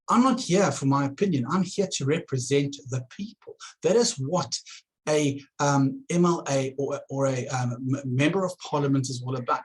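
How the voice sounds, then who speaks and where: A man's voice: fairly high pitch, poor audio. A man with a South African accent, an election candidate addressing voters in an earnest campaign video, often repeating words as he starts a phrase.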